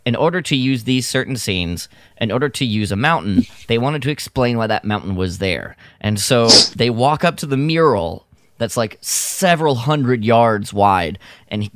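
A man chatting casually on a fan podcast, rambling through his thoughts in an animated way. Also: mid-range voice, no echo, clean studio sound. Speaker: podcast panelist. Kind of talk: casual film-fan chat.

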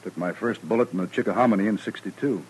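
A man's voice: lowish voice, fast talker, a little mellow, slightly rough, poor audio. A man acting in a 1950s radio western as an earnest, self-assured former army officer, eager, insistent and formal in manner. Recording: vintage old-time radio recording.

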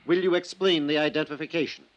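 Male actor as courtroom prosecutor, vintage radio play. Slightly high register, formal, precise, insistent. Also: fairly even intonation, fast, muffled recording, natural voice. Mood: formal, probing. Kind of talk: 1950s radio drama acting.

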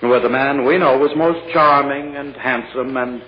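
A man acting a prosecuting attorney in an old radio drama, questioning in a careful, halting, insistent way. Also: medium pitch, natural voice. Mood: pointed, deliberate. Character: prosecuting attorney, probing, deliberate male courtroom lawyer. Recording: muffled, noisy old radio recording.

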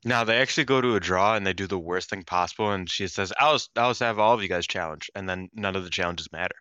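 A man's voice: deep voice, quick pace, smooth, no echo, dull audio. A man with a congested, nasal voice from being sick, chatting casually and animatedly as a podcast co-host. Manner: animated, jokey, swears casually. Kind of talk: casual chat.

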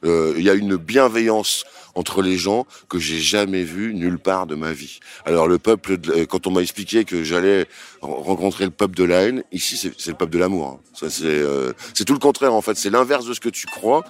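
Deep-voiced man, earnest and enthusiastic. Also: brisk. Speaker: festival attendee. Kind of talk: casual vox-pop interview.

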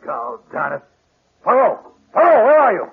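An actor playing a brash, impatient police inspector who talks bluntly and emphatically in a 1940s radio drama. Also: male voice, high voice, huge pitch swings, normal speed, vocal fry, exaggerated voice. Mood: urgent shouting. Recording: muffled, scratchy 1940s radio recording.

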